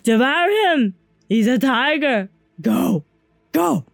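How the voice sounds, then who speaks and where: A woman voicing a cruel, furious male animal tamer in a storybook reading, with commanding, hoarse shouts. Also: deep voice, animated, slow, no echo, pitched below the performer's own voice, exaggerated voice. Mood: scornful, commanding.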